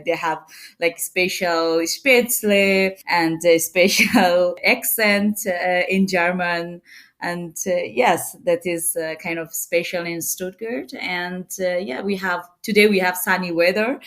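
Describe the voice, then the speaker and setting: A young woman from Bangladesh, a startup co-founder, answering interview questions with many fillers and pauses. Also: fairly low pitch, fairly bright tone. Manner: hesitant, many fillers, non-native phrasing.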